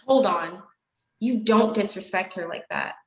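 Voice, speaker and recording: A woman's voice: medium pitch, measured pace, natural voice. A young woman acting an earnest, exasperated college student in a student stage play. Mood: firm, confronting. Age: young adult. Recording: echoey stage room.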